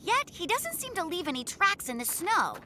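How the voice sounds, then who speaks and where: A woman voicing an earnest, sensible little boy polar bear cub in a children's cartoon, speaking in a measured, thoughtful way. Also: extremely high pitch, sing-song, bright, forceful tone, slight background noise, exaggerated voice. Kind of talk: children's cartoon dub acting. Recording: faint music behind the voice.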